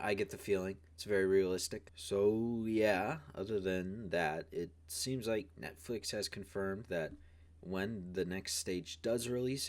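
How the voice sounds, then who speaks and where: A man's voice: low voice, darkish tone. A man hosting a video with casual commentary, talking in a rambling, informal, hedging way. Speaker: video host.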